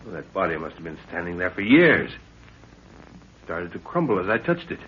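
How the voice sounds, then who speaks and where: Bossy practical professor, voice moves up and down a lot, muffled old radio serial with hiss. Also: male voice, sits low, very animated. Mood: measured, practical. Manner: brisk orders, dry remarks, muttered asides. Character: commanding, practical professor father leading an expedition. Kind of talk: 1930s radio adventure serial acting. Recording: muffled, hissy 1930s radio recording.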